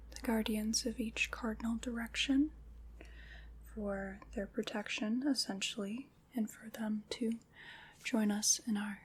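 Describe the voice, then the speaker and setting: A woman playing a calm witch in an ASMR roleplay, leading a ritual in a hushed, soothing, deliberate whisper. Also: a little high, breathy edge, exaggerated voice, poor audio.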